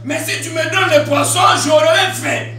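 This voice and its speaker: A man from Congo preaching a sermon in Congolese-accented French, shouting and calling for responses from the congregation. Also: extremely high pitch, fairly even intonation, moderate pace. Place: church hall. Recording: echoey hall from far off with music.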